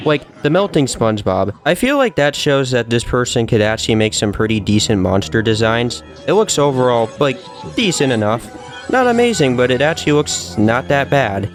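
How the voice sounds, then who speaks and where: Casting: male online commentator, casual and opinionated, earnest and emphatic delivery, mid-range pitch, music underneath. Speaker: video commentator. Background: background music.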